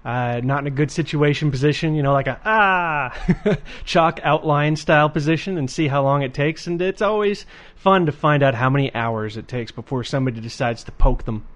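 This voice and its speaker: A man co-hosting a podcast in casual chat, relaxed and wry, rambling a little as he talks. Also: fairly high pitch, moderate pace. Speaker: podcast co-host.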